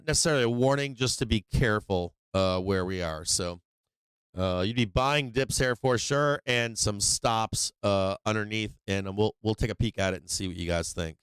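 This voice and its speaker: A man's voice: lowish voice, normal speed, fairly bright tone, clean tone, no echo. A man hosting a live stock market stream, casual and slangy, rambling with many fillers and restarts, saying he is losing his voice.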